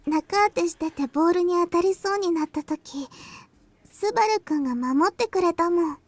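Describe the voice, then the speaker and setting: A woman voicing a gentle, earnest little girl in an anime-style visual novel game. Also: very high-pitched, fast, no echo. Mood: earnest, grateful.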